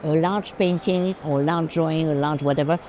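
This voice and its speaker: A woman's voice: low-pitched, steady tone, moderate pace, warm tone. A woman discussing art casually, stating firm opinions. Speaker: curator and collection advisor. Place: busy art fair. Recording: clear urban ambient noise.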